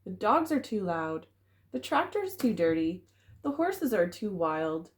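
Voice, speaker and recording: A woman voicing timid but curious, easily startled chickens from a children's storybook in an exaggerated, expressive way. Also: mid-range voice, unhurried, voice pushed down. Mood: regretful.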